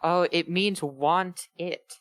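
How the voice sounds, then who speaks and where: A man's voice: sits high, fairly even intonation, slow, fairly bright tone, no echo. A man chatting and joking casually as one of a group reading fan fiction aloud. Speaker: reader on a bad fanfiction show.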